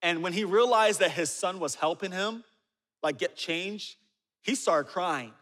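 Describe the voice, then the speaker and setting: A man in his forties preaching a sermon, telling stories with humor and dropping low and quiet for asides. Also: unhurried. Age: 40s. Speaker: pastor. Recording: reverberant hall.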